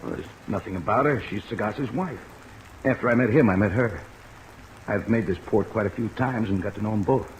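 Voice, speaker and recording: An American actor playing a wary, bewildered sailor held in jail, speaking plainly and hesitantly in an old radio drama. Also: male voice, lowish voice, brisk, natural voice, rough recording. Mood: earnest recalling. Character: bewildered, wary American seaman jailed for murder. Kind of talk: 1950s radio drama acting. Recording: old radio recording with rain noise. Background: steady rain.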